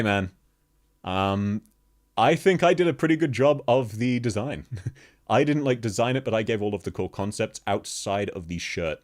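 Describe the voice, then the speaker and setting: A man with a very deep bass voice, chatting casually with viewers on a live stream and laughing often. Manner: laughs constantly, chatty, answers chat questions. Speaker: VTuber streamer. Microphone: streaming microphone, level shifts as he moves.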